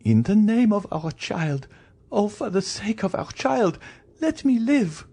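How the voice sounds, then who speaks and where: Storybook gentlewoman acted by a man, frantic and pleading, high swooping voice, drawn-out stammering delivery. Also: big pitch swings, vocal fry, slightly rough, exaggerated voice. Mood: desperate pleading. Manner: high, wailing, stammering pleas. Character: terrified, pleading wife, a guilty gentlewoman poisoner.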